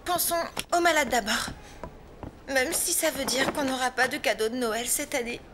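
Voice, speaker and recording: A woman voicing an excitable, fretful but kind animal nurse in a children's cartoon. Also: high-pitched, normal speed, lightly acted. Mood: resigned.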